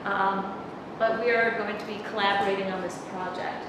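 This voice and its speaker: A woman's voice: mid-range voice, little pitch movement, moderate pace. A middle-aged woman professor giving a conversational lecture, projecting her voice without a microphone. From United States. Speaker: university professor giving a talk. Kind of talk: public lecture. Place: conference room, indoors. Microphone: far camera, no microphone. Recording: echoey hall from far off, room noise.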